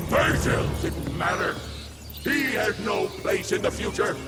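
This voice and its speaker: A man's voice: high voice, a little slow. An actor playing a domineering, zealous older father turned villain in a video game, speaking in a grandiose, commanding way. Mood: grandiose, menacing. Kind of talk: dramatic video game acting. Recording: loud dramatic music behind.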